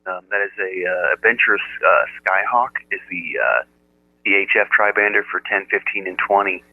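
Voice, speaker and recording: An American man chatting casually as an amateur radio operator, with a halting delivery. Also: deep voice, no room sound. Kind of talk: casual ham radio chat. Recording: narrow-band, telephone-like radio audio.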